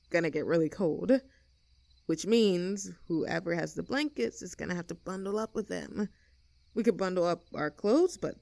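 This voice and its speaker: A woman's voice: lowish voice, vocal fry, no echo. A woman playing an easygoing young tomboy with a secret crush in an intimate audio roleplay, casual, teasing and tender in manner. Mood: practical, caring. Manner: casual, teasing, tender, halting when flustered.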